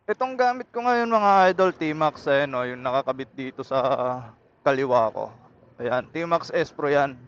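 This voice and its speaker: A man's voice: on the high side, lively intonation, a little slow. A man with a Filipino accent chatting casually as a motovlogger, talking through a helmet intercom microphone while riding. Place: outdoors on a motorcycle on the road. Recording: muffled helmet intercom mic.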